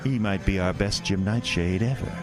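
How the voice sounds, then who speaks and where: A man's voice: low voice, moderate pace, music in the background, lightly acted. A man playing a sinister carnival master in a horror audio drama, speaking with false comfort, theatrical condescension and cool menace. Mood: satisfied. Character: sinister, smooth-talking carnival master luring a boy, coolly menacing.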